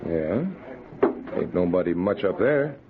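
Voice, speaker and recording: A man playing a folksy, easygoing saloon keeper with a gravelly backwoods drawl in an old-time radio adventure. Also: low-pitched, expressive, a little slow. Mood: easygoing, chatty. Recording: muffled, crackly old radio broadcast recording.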